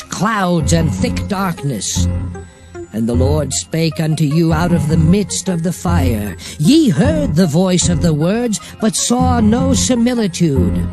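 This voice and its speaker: Casting: grave old male prophet for dramatized scripture, high-pitched for a man, widely swooping declamation. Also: full character voice. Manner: grave, deliberate, declamatory oratory. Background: music.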